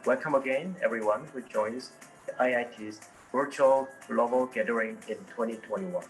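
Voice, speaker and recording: Middle-aged male professor, measured formal welcome. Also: mid-range voice, faint music behind, poor audio. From Korea. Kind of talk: recorded welcome message.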